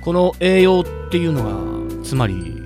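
An actor voicing a mild, genial gym manager who explains things gently in an audio drama. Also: medium pitch, sing-song, moderate pace, lightly acted. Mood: genial, explaining. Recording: music under the voice.

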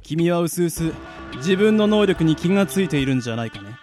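A man's voice: fairly high pitch, animated, fairly quick, darkish tone, lightly acted. A man playing a pompous, kindly middle-aged spirit mentor with old-fashioned, lecturing speech in an anime-style radio drama. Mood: solemn, explaining. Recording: background music under the voice.

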